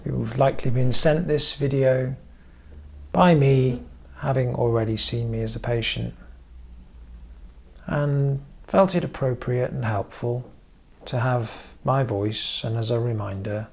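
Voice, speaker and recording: Guy doing guided meditation, slow n measured, lots of vocal fry, pauses between little phrases. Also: mid-range voice, a little mellow. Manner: measured, pausing often between short phrases. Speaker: therapist leading guided meditation. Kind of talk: guided meditation, gentle instruction.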